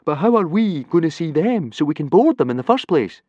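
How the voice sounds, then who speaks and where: A man's voice: fairly high pitch, big pitch swings, fairly quick, dry recording, lo-fi sound, voice pushed up. A man voicing a nervous, skinny Viking boy who pleads anxiously, in a storybook reading.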